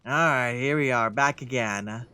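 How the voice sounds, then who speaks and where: A man's voice: mid-range voice, a little slow, pushed and bright, a little hiss or hum. A man giving casual, informal, reactive commentary as he plays through a video game. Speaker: let's play streamer. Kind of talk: casual gameplay commentary, reading along.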